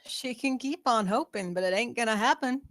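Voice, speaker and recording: A woman's voice: on the high side, fairly quick, fairly bright tone, dry recording, close to an everyday voice. Actress as a wry, guarded young woman, dry sound. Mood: exasperated. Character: sardonic, guarded young woman with a teasing streak. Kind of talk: table read of a screenplay.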